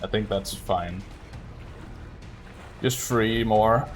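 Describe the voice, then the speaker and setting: A man's voice: lowish voice, very slow, soft background music. A man giving casual live gameplay commentary as a speedrunner, muttering and thinking aloud. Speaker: speedrunner streaming a video game.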